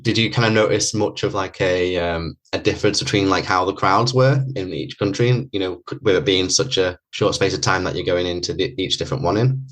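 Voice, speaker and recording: A man's voice: low-pitched, a little fast, few pauses, a bit bright, poor audio. A man hosting a podcast, asking long rambling questions full of "you know" and "like". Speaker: podcast host. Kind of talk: interview questions.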